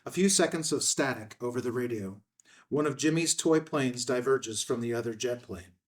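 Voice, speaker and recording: A man's voice: mid-range voice, moderate pace, recorded from a distance. A male narrator reads screenplay action lines in a mid-range voice. He sounds slightly away from the microphone, setting out each scene as scripted narration. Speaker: stage-direction narrator.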